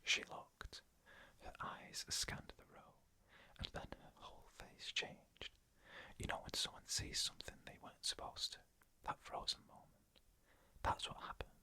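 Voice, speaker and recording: Bright, breathy whispering ASMR storyteller. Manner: hushed, deliberate, short pausing phrases. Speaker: ASMR narrator. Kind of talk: whispered ASMR narration of scary stories.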